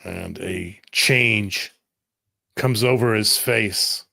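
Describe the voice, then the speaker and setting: A man runs a tabletop roleplaying game as its narrator. His voice is on the low side with a marked gravelly creak, recorded close and dry. He tells the action in clipped, dramatic phrases. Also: drawn-out words, sharp tone, no room sound. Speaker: game master.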